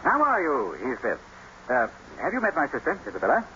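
An actor playing a devoted, proper gentleman husband with a genteel, expressive old radio drama delivery. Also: on the high side, fairly quick, exaggerated voice. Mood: polite. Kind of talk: 1940s-50s radio drama acting. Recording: noisy, dull old radio broadcast.

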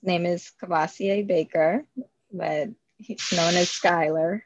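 A woman's voice: fairly low pitch, steady tone, slow, dry recording, rough recording. A woman, talking as an interview guest, hesitant with many fillers and frequent laughs. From Bahamas. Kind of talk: casual interview.

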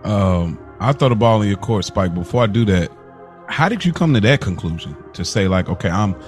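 A man's voice: fairly low pitch, lively intonation, a little fast, faint music behind. A man hosting a podcast in a casual, conversational style, posing questions to others. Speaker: podcast host.

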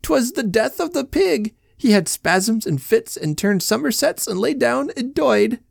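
A man reading a storybook and voicing a weepy old Irish woman with a high, quavering wail. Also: expressive, moderate pace, vocal fry, darkish tone, no echo, studio quality.

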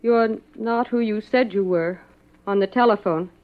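A woman's voice: mid-range voice, a little slow, close to an everyday voice. An actress playing an anxious, guarded married woman in a 1950s radio detective drama, speaking formally and dramatically. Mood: startled, fearful. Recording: muffled old radio recording.

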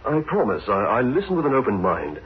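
An actor playing a grave, earnest doctor in a 1960s radio drama, speaking formally and with measured, reproachful weight. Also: male voice, medium pitch, wildly swooping pitch, husky, heavily acted. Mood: earnest, pleading. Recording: thin, phone-like old radio recording.

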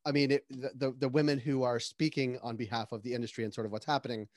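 A man's voice: mid-range voice, level tone, fast, darkish tone, dry recording, clean studio sound. A man hosting a casual podcast, speaking hesitantly with fillers. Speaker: podcast host.

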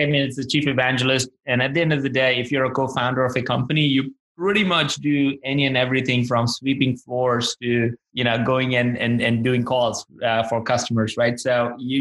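A man speaks in a casual, effusive interview style. His voice sits at a medium pitch. The sound is noticeably echoey, as if recorded in a reverberant room. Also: a bit bright. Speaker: podcast host being interviewed as a guest on own show.

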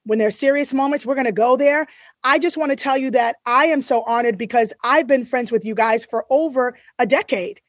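A woman's voice: high voice, fairly quick, dry recording, studio quality. A woman in her thirties co-hosting a podcast interview, earnest and effusive as she welcomes her guests. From Boston area, US. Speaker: podcast co-host.

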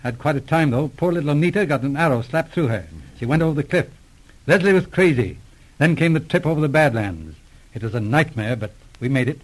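An actor playing a brisk, commanding Mountie sergeant with a husky voice in a 1940s radio adventure. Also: male voice, on the high side, lively intonation, poor audio. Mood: reflective storytelling. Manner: decisive, exclamatory. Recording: old 1940s radio recording.

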